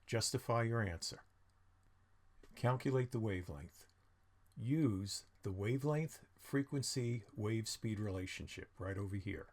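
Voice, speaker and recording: A man's voice: lowish voice, measured pace. A man narrating a lesson as a teacher, explaining step by step with informal asides and questions he answers himself. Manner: explanatory, poses questions then answers them. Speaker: physics teacher.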